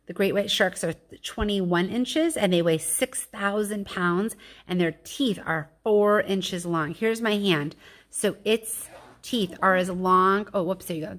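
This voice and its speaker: Give me a lower-voiced woman reading picture books aloud. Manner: explanatory, exclaims and questions listeners. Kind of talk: reading a children's picture book aloud with informal asides.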